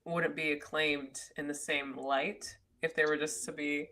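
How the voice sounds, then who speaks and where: A woman's voice: low-pitched, little pitch movement, measured pace, a bit bright, rough recording. A woman guest on a podcast, a jazz singer who laughs often and talks casually.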